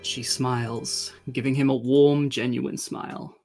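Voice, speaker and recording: A game master improvising narration and chatting casually in hesitant, halting phrases. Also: medium pitch, level tone, darkish tone, soft background music.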